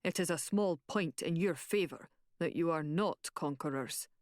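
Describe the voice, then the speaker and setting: A woman voicing a stern, commanding bearded male warrior chief who speaks in slow, imperious pronouncements. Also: sits low, a little mellow, no echo, studio quality, voice pushed down, natural voice.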